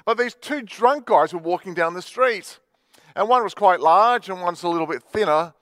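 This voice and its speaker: High-voiced pastor preaching. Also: male voice, animated, moderate pace, fairly bright tone, no echo. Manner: chatty storytelling with many fillers. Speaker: Baptist pastor. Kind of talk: sermon. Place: church livestream.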